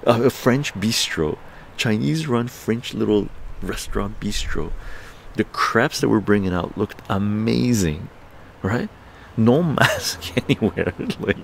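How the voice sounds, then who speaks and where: An older man from Canada, livestreaming from his outdoor patio, telling a story in an animated, laughing way with crude language. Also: gravelly, slight background noise. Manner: animated, laughing, swearing, acts out quotes in higher voices. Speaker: livestream host. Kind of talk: casual storytelling to a live chat.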